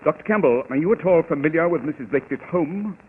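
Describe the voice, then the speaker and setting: An actor playing a brisk, commanding detective in a 1940s radio drama. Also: male voice, fairly high pitch, expressive, natural voice. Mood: urgent. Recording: old radio broadcast, tinny and hissy.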